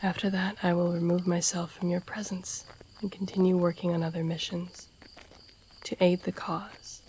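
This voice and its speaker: A woman's voice: fairly low pitch, flat delivery, normal speed, darkish tone, a little hiss or hum, lightly acted, poor audio. A woman playing a guarded, deadly royal assassin and devoted protector in a fantasy audio roleplay, stern and formal, often dropping toward a whisper. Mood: solemn, devoted. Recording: outdoor night ambience with crickets behind. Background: crickets and night nature ambience.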